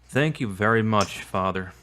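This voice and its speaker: A man's voice: lowish voice, animated, moderate pace, bright, forceful tone, slight background noise. A man playing an earnest, polite religious believer in an amateur audio drama.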